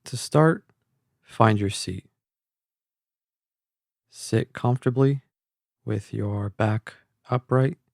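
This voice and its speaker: A man guiding a meditation in a calm, gentle, measured way, leaving long pauses between phrases. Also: on the low side, creaky, dry recording, clean studio sound. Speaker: guided meditation instructor. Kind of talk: slow guided meditation narration. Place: close, dry voice-only recording.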